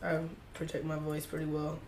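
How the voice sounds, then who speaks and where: A woman's voice: low voice, completely flat delivery, moderate pace, reverberant, crowd noise. A woman co-hosting a podcast, chatting in an easygoing, agreeable way and laughing often. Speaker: podcast co-host. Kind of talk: casual chat. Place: home living room, indoors.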